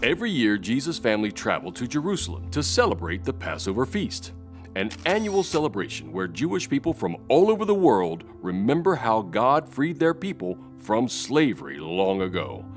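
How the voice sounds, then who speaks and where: A man's voice: mid-range voice, huge pitch swings, normal speed. A man playing a grandiose, suspenseful documentary announcer in a scripted narration. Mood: explanatory. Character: dramatic male documentary-style announcer. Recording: prominent music under the voice.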